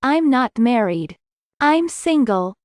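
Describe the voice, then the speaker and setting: A woman reading scripted English lesson dialogue, slowly and clearly, in carefully spaced sentences. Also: sits high, big pitch swings, no room sound, studio quality.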